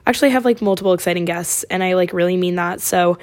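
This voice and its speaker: A college-age woman from Oregon hosting her podcast, chatting casually with a raspy voice and lots of fillers. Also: lowish voice, quick pace. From Oregon, USA. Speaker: podcast host. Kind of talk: casual chat.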